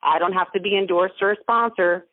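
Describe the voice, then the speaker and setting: A woman's voice: lowish voice, fairly even intonation, brisk, dry recording. Woman mentor and founder, emphatic motivational speaker, tinny phone-line audio. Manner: emphatic, motivational, stacks repeated phrases. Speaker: guest, mentor and business founder. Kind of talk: interview over a phone line.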